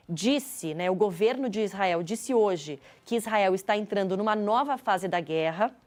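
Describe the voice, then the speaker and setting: A woman's voice: medium pitch, darkish tone. A woman with a Brazilian accent giving a live news report as a reporter, in an explanatory way.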